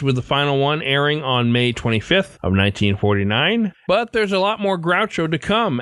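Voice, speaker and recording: A man's voice: a little high, fairly bright tone, no echo. A man hosting a podcast, reading a scripted outro to listeners. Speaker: podcast host.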